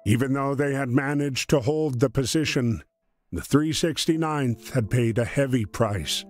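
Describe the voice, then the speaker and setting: Slow, measured male storyteller. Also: mid-range voice, a little mellow, soft background music. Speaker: narrator. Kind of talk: scripted storytelling narration of a war video game.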